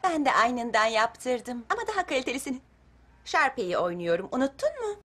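A woman's voice: high-pitched, big pitch swings, brisk, a bit bright, heavily acted. A woman voicing a poised, ambitious teenage schoolgirl in an amateur film dub, self-assured. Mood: smug, scornful. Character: poised, ambitious teenage schoolgirl assistant turned scheming rival. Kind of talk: amateur fan dub acting.

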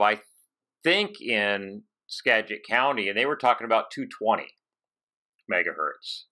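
A man in his fifties hosting a casual technical interview on a headset from a home office, haltingly thinking aloud. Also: lowish voice, pushed and bright, clear, resonant tone. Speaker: podcast host. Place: home office with bookshelves, on a video call.

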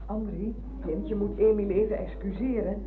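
A woman's voice: mid-range voice, steady tone, normal speed, lightly acted. An actress playing a talkative, insistent older former schoolmistress with a motherly way, in a radio drama. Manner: effusive, insistent, hesitating. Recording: muffled, hissy old radio recording.

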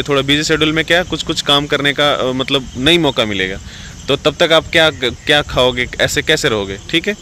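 A man's voice: on the high side, pushed and bright, a little hiss or hum. A young man filming himself on a phone outdoors, giving casual, reassuring advice and often saying "theek hai". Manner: reassuring, repeats "ठीक है" often. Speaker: vlogger giving advice to recruits. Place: outdoors, green rural spot under trees. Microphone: phone selfie at arm's length.

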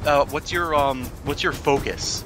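A man's voice: a little high, measured pace. A man acting as game master in a tabletop role-playing game, joking and swearing freely. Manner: jokey, swearing, laughs often. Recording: music playing behind the voice. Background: music.

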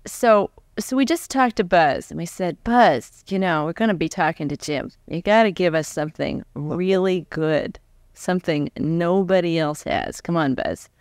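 An American woman in her forties interviewing a guest on stage in a chatty, animated, conversational way. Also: on the low side, moderate pace, no room sound, studio quality. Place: on stage before a live audience. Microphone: large microphone on a stand, close.

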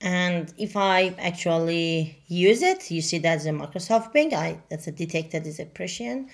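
A woman whose first language is Farsi, talking through a software demo in halting English with many fillers. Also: on the low side, lively intonation. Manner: halting, many fillers and restarts, non-native grammar. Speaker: software tutorial presenter. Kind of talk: screen-recorded software walkthrough.

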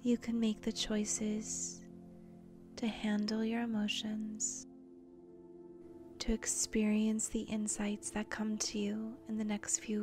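A woman leading a guided meditation in a soft, breathy, near-whispered voice, gentle and soothing, with long pauses between short phrases. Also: mid-range voice. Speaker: meditation guide, channel host.

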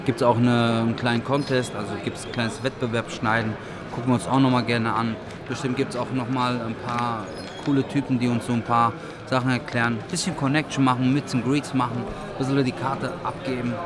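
A man in his thirties, a barber, giving an excited, chatty vlog tour at a trade fair. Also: mid-range voice, a little fast. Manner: upbeat, enthusiastic, chatty. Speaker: vlogger and barber. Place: busy indoor convention hall. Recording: crowd chatter behind.

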